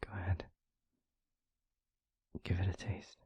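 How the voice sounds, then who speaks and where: A man playing a gentle, concerned vampire boyfriend in an intimate audio roleplay, speaking softly and haltingly with a breathy, husky voice. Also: no room sound, whispered, close to an everyday voice. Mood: gentle, reassuring. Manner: soft, hesitant, halting, reassuring.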